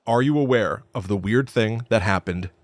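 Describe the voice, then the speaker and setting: A man's voice: on the low side, moderate pace, no echo. A man co-hosting a podcast, recapping a film in casual, slangy, jokey and enthusiastic chat. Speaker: podcast co-host.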